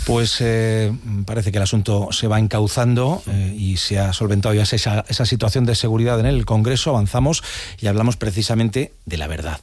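A man hosting a radio talk show, briskly introducing topics and guests from the studio. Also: on the low side, a little mellow.

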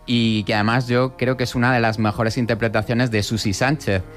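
Hombre que comenta películas en la radio. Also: male voice, medium pitch, level tone, brisk, faint music behind. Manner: explanatory, with many fillers and tag questions. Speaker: radio film commentator. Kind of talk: casual radio chat about films.

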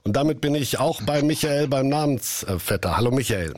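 A man's voice: mid-range voice, animated, moderate pace, dry recording, clean studio sound. A middle-aged man hosting a casual radio call-in show, chatty and joking with his callers. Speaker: radio host.

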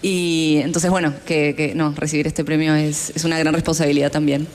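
A woman's voice: low-pitched, little pitch movement, fairly quick, darkish tone. Latin American woman, earnest and explanatory. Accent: Latin American Spanish. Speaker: fintech founder, award-winning guest. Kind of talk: conversational interview answers.

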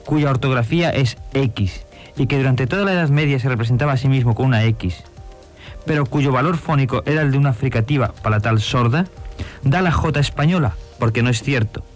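A man lecturing precisely and slowly, in an archival radio lesson. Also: mid-range voice, faint music behind. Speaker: university lecturer.

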